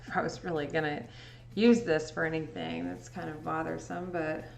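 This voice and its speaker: A woman's voice: low-pitched, vocal fry. A young woman from Indiana with a Midwestern accent, chatting casually with viewers during a livestream while she edits photos. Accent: Midwestern American. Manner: slow, relaxed, chatty with frequent laughs. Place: indoor home room. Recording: echoey room with faint music.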